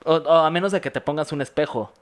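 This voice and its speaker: A man's voice: fairly high pitch, quick pace. A young Mexican man co-hosting a podcast, asking incredulous questions and joking along. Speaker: podcast co-host. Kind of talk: casual chat.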